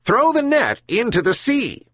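Guy putting on a high, wise seagull voice, slow and solemn, storybook bird, swoopy. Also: wildly swooping pitch, a bit bright, no room sound, exaggerated voice. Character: wise talking herring gull, calm and stern.

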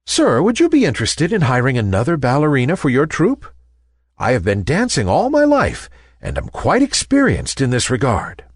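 A man's voice: medium pitch, wildly swooping pitch, moderate pace, slightly rough, no echo, studio quality. A man voicing a tiny, earnest toy ballerina girl in a storybook, wistful and polite. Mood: polite, hopeful.

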